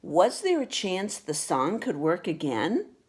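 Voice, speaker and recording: A woman reading a children's picture book aloud with expressive, deliberate storytelling delivery. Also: lowish voice, slightly rough. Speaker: storybook reader.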